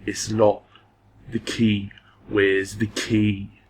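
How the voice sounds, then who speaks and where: Casting: male actor as greedy, menacing stepfather, lowish rough voice, drawn-out delivery, audio drama villain. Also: monotone, full character voice. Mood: demanding. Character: menacing, greedy, whiskey-drinking fat stepfather.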